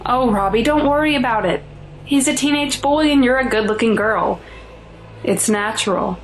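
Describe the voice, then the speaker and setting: A woman's voice: fairly high pitch, moderate pace, a little hiss or hum, lightly acted, low-quality recording. A woman playing a worried, pleading mother in an audio drama, anxious and earnest. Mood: concerned. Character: worried, pleading mother of a dangerous teenage son. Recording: music under the voice.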